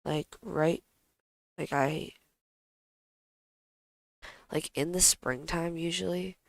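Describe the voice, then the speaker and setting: Slow, stuffy, creaky young streamer. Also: mid-range voice, fairly even intonation, dry recording, clean studio sound. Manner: halting, hesitant. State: congested from allergies. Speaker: amateur live streamer. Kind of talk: casual unscripted stream chat.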